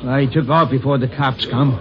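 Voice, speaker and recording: A man playing a gruff, grumbling American boxing gym owner with blunt, slangy tough-guy talk in a vintage radio drama. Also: medium pitch, fairly even intonation, a little fast, natural voice. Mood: indignant. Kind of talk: 1950s radio drama acting. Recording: muffled old radio recording.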